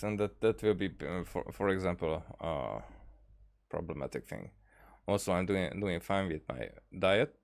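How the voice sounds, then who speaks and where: Male game streamer, lower register, unhurried and rambling, casual chat with halting delivery. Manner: rambling, halting, trails off mid-thought. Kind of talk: casual livestream chat over gameplay.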